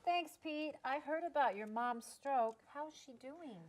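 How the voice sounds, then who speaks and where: Woman acting a frank old flame, higher voice. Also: unhurried. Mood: admiring. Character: grown-up old flame of a rock star, wistful, frank. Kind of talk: script table read acting.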